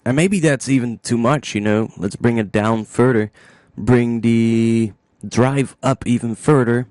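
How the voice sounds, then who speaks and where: A man narrating a music software tutorial step by step, informally thinking aloud into a close microphone. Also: medium pitch, a little slow.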